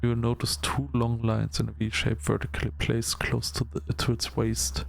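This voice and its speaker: A man reading a visual novel aloud as narration, with casual asides and some halting restarts. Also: mid-range voice, completely flat delivery, gravelly.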